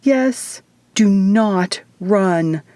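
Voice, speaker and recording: Female reader as young hybrid girl, bold, excitable, eager, eccentric, storybook adventure, dry recording. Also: mid-range voice, drawn-out words, no echo. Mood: alert.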